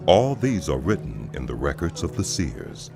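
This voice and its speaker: A man narrating scripture for a dramatized audio production in a solemn, measured storytelling manner. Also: a bass voice, sing-song, gravelly. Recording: loud music behind the voice. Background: loud music.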